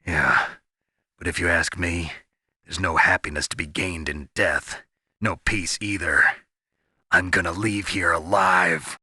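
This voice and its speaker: A man playing a gruff, stoic, battle-hardened soldier in a video game, with a gravelly, husky voice and a terse, grim manner. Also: low voice, fairly even intonation, unhurried, pushed and bright, no echo, studio quality, full character voice. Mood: grim defiance.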